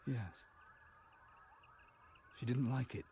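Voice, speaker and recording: An actor playing a haunted, halting man who recalls a wartime childhood in a radio drama. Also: medium pitch, dark tone, slight background noise. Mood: grave, remembering. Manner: halting, fragmented, hesitant delivery.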